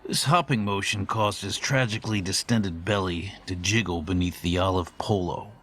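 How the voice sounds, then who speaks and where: A man playing a formal, wordy middle-aged storyteller, giving a measured, ornate monologue in an audio horror drama. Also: deep voice, fairly bright tone, slight background noise. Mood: uneasy, brooding. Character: formal, verbose middle-aged man recalling haunting courier days.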